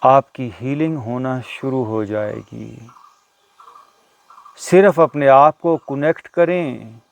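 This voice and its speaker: Slow, deliberate man guiding meditation outdoors. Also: mid-range voice, creaky. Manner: slow, deliberate, with short pauses between phrases. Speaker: yoga and meditation teacher. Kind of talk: guided meditation. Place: outdoors in a natural setting. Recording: outdoor recording with birdsong behind. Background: birdsong.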